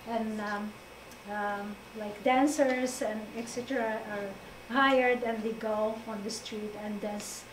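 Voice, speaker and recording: Halting woman from Morocco, echoey room. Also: a little high. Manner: halting, many fillers, laughs often. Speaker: guest presenter. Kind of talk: informal talk to an audience. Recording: echoey room, distant mic, faint noise.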